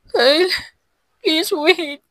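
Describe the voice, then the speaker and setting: A woman's voice: very high voice, drawn-out words, a bit bright, no room sound, clean studio sound, voice pushed up, full character voice. A woman voicing a heartbroken young woman, tearful and pleading, in an audiobook romance melodrama. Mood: desperate pleading. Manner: pleading, emotional.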